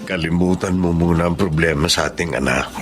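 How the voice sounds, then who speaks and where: A man playing a gentle, devoted father, soothing and earnest, in Filipino drama style. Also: low voice, a little fast, close to an everyday voice. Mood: tender, concerned. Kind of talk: Filipino radio drama acting. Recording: loud music behind the voice.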